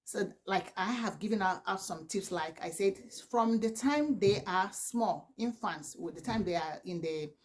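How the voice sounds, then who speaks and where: A woman's voice: medium pitch, measured pace, hardly any pauses. A woman in her forties with a Nigerian accent, whose first language is Igbo, giving earnest, pleading advice to camera with many restarts. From southeastern Nigeria. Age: 40s. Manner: earnest and pleading, with repeated "please" and frequent restarts. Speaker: vlogger, mother of four.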